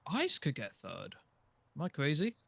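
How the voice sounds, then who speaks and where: A man's voice: a little high, huge pitch swings, a little slow, creaky, fairly bright tone, no room sound. A man chatting casually as a podcast panelist. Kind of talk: casual chat.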